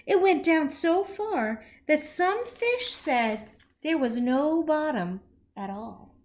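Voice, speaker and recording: Woman, high voice, unhurried pace, expressive storybook read-aloud, teacherly, aimed at small children. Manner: animated, slow, deliberate, with questions to young listeners. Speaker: teacher reading a picture book to children.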